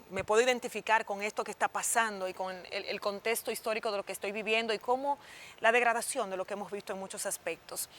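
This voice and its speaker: A woman co-hosts a TV talk show. Her voice is somewhat high, and she sounds engaged as she asks long questions that set up the topic for her guest. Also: a bit bright.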